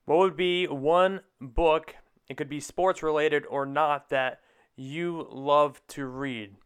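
A man's voice: on the high side, fairly even intonation, slow, clean tone. A young man hosting a podcast, asking his guest questions in a casual conversational style. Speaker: podcast host, interviewer.

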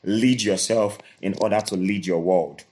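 A man's voice: lowish voice, fairly even intonation, measured pace, darkish tone, slight background noise. A man co-hosting a motivational podcast, earnest and repetitive, often restarting his phrases. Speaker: co-host.

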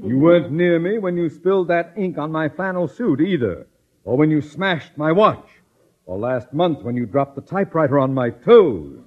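Pompous principal, tinny old radio. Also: male voice, fairly high pitch, lively intonation, normal speed. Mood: indignant. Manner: pompous, stern, exasperated. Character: pompous, irritable middle-aged school principal. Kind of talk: 1940s-50s radio comedy acting. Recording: tinny, band-limited old radio recording.

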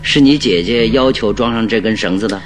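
Actor playing a brisk, low-voiced probing detective. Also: male voice, soft background music, lightly acted. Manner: probing, questioning, commanding. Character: brisk, inquisitive male detective, keenly observant. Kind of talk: radio drama acting.

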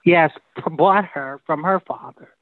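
A woman's voice: low voice, unhurried, crowd noise. A woman from Sudan, speaking bluntly and at length as a guest in a candid personal interview. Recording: tinny dry phone line.

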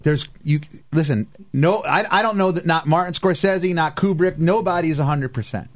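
A man's voice: fairly high pitch, normal speed, dry recording. A man with an American accent hosting a live radio talk show in a casual, chatty style. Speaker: radio show and podcast host. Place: radio studio.

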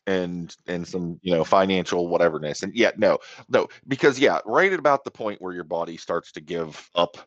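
A man's voice: deep voice, normal speed, hardly any pauses, no room sound, muffled recording. A man in his forties co-hosting a podcast, laid-back and self-deprecating, swearing casually. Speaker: podcast co-host. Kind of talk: casual chat.

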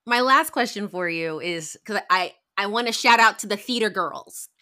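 A woman's voice: medium pitch, normal speed, sharp tone. A woman interviewing a guest in a casual, supportive way, often chiming in with short agreement. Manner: supportive, frequent short affirmations.

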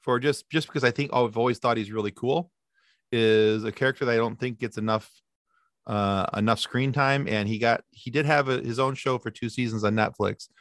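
A man in his thirties chatting casually as a podcast guest, sarcastic and animated, often restarting his sentences. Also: mid-range voice, normal speed, dry recording, clean studio sound. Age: 30s. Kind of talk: casual chat.

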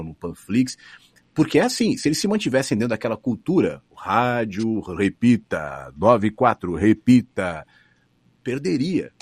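A man's voice: fairly low pitch, darkish tone, husky, no echo. A middle-aged radio announcer with a São Paulo accent, thoughtfully answering interview questions and often restarting his phrases. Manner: thoughtful, restarts phrases, rises and falls expressively.

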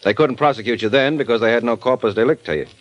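An actor playing a wisecracking private detective in a 1940s radio drama, sardonic and quick with a comeback. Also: male voice, medium pitch, highs cut off, close to an everyday voice. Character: wry tough male private detective.

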